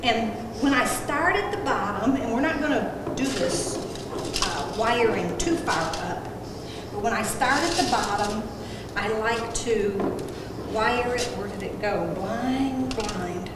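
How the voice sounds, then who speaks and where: Woman doing a craft demo, slow and folksy, kinda high voice, far from the mic in a big echoey room with lots of noise. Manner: plain-spoken, instructive, folksy. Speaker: craft demonstrator. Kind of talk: casual how-to demonstration. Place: senior center room.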